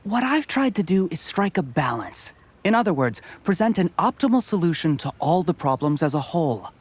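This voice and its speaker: An actor playing a modest, personable candidate in a video game, articulate, polite and thoughtful. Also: male voice, sits high, big pitch swings, normal speed, slightly rough, full character voice. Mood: assured, earnest.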